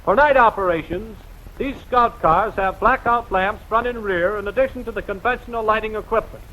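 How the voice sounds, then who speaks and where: High-pitched, bright 1940s American newsreel man. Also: normal speed, slight background noise, low-quality recording. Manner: emphatic, rousing, formal announcer delivery. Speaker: newsreel narrator. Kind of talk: 1940s newsreel narration, scripted. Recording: vintage 1940s newsreel film soundtrack.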